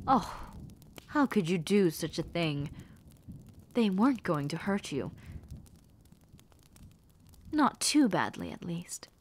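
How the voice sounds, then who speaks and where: Slow, haughty villainess, acted by a woman. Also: fairly low pitch, big pitch swings, a little hiss or hum. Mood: silky menace. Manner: theatrical, formal, condescending, drawn-out phrasing with dramatic pauses. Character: haughty, menacing villainess, rebel mistress with sweet cruel poise. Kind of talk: scripted fantasy audio roleplay, addressing the listener.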